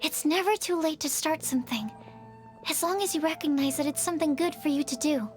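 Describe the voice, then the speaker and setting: Donna che fa una giovane studiosa dalla voce acutissima. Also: female voice, high-pitched, fairly quick, faint music behind, lightly acted. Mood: encouraging. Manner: gentle, earnest, hesitant. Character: shy, kind, earnest young female researcher. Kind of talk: video game voice acting.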